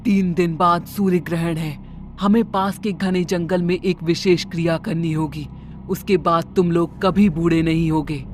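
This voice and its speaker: A woman's voice: fairly low pitch, fairly even intonation, measured pace, a little mellow, a little hiss or hum, close to an everyday voice. Woman acting a menacing, commanding witch. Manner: commanding, ominous. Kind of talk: Hindi cartoon horror story acting.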